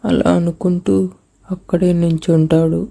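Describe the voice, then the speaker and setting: Husky, low-voiced woman narrator. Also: level tone, a little slow, dark tone. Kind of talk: scripted children's story narration.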